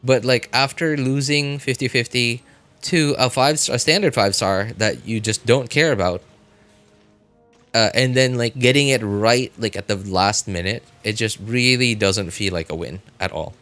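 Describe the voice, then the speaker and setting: A man chatting casually to viewers as a game livestreamer, rambling and often repeating himself in a halting way. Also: mid-range voice, fairly bright tone, faint music behind.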